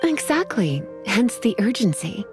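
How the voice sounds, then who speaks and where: A woman's voice: mid-range voice, big pitch swings, measured pace, over music. Elegant, teasing, lilting lady. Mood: teasing, languid. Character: languid, teasing, elegant adult woman. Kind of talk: video game voice acting.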